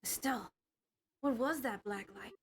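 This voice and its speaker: Woman doing a calm, lowered-voice teenage boy. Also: normal speed, natural voice. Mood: puzzled. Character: calm, level-headed teenage boy partner in fantasy game. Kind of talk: amateur game dubbing.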